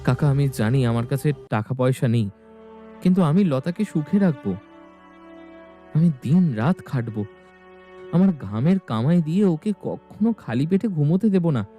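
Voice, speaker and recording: A man's voice: a little high, animated, moderate pace, warm tone, soft background music, close to an everyday voice. A man playing an earnest, proud, poor young woodcutter in love in a cartoon, often pleading.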